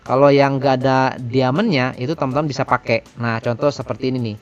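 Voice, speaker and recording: A man's voice: medium pitch, steady tone, fast talker, muffled recording. A man hosting a casual product demo and review. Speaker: reviewer and tutorial host. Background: faint music.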